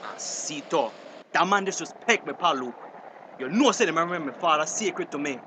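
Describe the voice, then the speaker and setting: A man's voice: fairly high pitch, expressive, a little fast, natural voice. A man playing a worried, indignant, complaining fisherman in a radio drama, with a Belizean Creole accent. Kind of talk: radio drama dialogue in dialect. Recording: drama over clear vehicle-like background noise.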